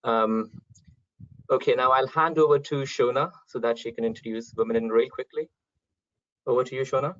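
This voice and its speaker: A man hosting an online event, polite and organised, pausing often with small hesitations. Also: medium pitch, steady tone, a bit bright. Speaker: event host. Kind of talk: webinar hosting. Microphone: video call. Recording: dull, dry online call audio.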